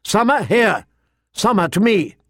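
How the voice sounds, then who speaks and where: A man's voice: fairly high pitch, animated, a little fast, creaky, a little mellow, dry recording, clean studio sound. A man voicing an anxious, earnest little noble boy in an audiobook, urgent and pleading in manner. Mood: alarmed, commanding. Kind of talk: audiobook character acting.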